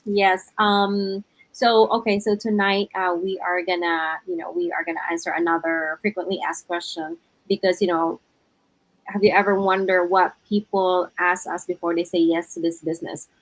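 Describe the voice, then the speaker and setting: A woman's voice: fairly low pitch, little pitch movement, moderate pace, poor audio. Filipina-accented woman hosting a livestream. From Philippines. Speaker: host. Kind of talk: casual online livestream hosting.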